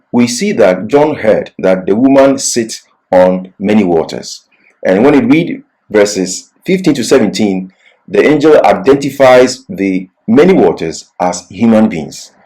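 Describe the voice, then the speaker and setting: A man's voice: mid-range voice, a little mellow, poor audio. A man giving an expository Bible lecture as a teacher, didactic and leaning on key words.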